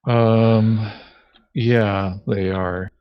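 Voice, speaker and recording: A man's voice: on the low side, fairly even intonation, extremely slow, clean tone, no echo. A man talking casually and matter-of-factly while playing a tabletop game. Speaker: board game player. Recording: dull lo-fi sound.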